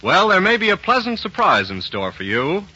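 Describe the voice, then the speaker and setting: A man's voice: high voice, huge pitch swings, normal speed, pushed and bright, breathy edge. An American man announcing a 1940s radio show in a formal, polished, declamatory style. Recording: dull 1940s radio recording.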